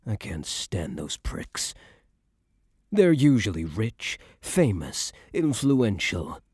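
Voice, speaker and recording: A man playing a cocky young mafia heir who is a doting but menacing boyfriend, in an intimate ASMR roleplay with slow, smug tough-guy slang. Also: on the low side, big pitch swings, a little mellow, breathy edge, no room sound, clean studio sound, exaggerated voice. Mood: musing, confiding.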